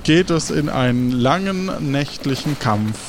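A man's voice: medium pitch, lively intonation, unhurried, background noise. A man hosting and narrating an improvised audio adventure as game master, hesitantly searching for his words. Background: music.

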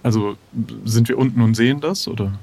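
A man's voice: mid-range voice, a little fast, a little mellow. A man playing an improvised audio role-play game, thinking aloud as he announces his actions. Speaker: player in an adventure game.

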